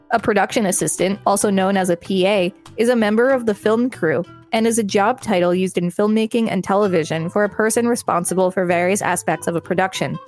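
A woman's voice: medium pitch, darkish tone. A woman co-hosting a podcast, reading an article aloud and adding casual commentary. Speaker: podcast co-host.

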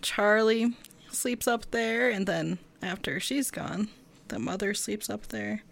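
A woman with a medium-pitched voice who speaks quite slowly. She offers tentative explanations in casual podcast conversation as one of the hosts. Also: creaky. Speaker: podcast co-host. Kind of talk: casual film discussion.

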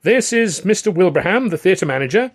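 A man voicing an affable, wily music-hall company manager who talks politely and briskly. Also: medium pitch, sing-song, vocal fry, husky, exaggerated voice. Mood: presenting proudly.

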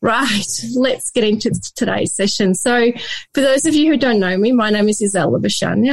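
Woman host, mid-pitched, encouraging and upbeat. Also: dry recording. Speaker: host of a thesis support session. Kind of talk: online session hosting.

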